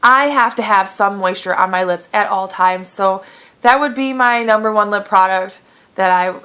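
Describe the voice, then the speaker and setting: A woman's voice: medium pitch, normal speed. A woman in her late twenties chatting through a casual beauty Q&A vlog, full of fillers, with a lingering cough. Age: 20s. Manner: chatty, lots of fillers, animated quoting. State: lingering cough, clearing throat. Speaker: beauty vlogger.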